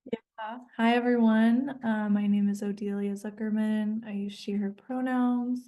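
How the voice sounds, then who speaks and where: A woman's voice: medium pitch, level tone, measured pace, warm tone. A young woman, a youth committee member and co-chair, introduces herself matter-of-factly at an online meeting. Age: young adult.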